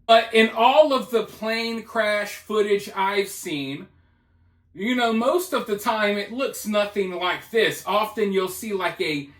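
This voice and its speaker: A man's voice: high voice, takes it slowly, fairly bright tone, echoey room. A man giving casual, opinionated news commentary, speaking emphatically in colloquial language and repeating himself for effect. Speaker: commentator hosting a news video.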